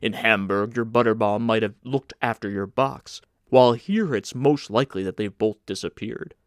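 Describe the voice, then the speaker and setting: A man's voice: on the low side, moderate pace, no echo, clean studio sound, lightly acted. A man voicing a proud, blunt German ship's stoker with an argumentative, vigorous manner in an audiobook. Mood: blunt, insistent. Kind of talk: audiobook character acting.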